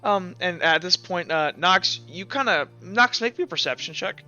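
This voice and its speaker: A man's voice: high voice, expressive, normal speed, sharp tone. A man narrating a tabletop roleplaying game as game master, describing scenes in a rambling, piecemeal way. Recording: dull lo-fi sound, faint music behind.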